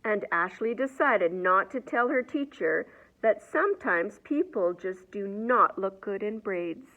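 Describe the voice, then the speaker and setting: A woman reading a children's picture book aloud in an expressive storyteller's manner. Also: medium pitch, unhurried, dull audio. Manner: expressive, asks the young listeners questions.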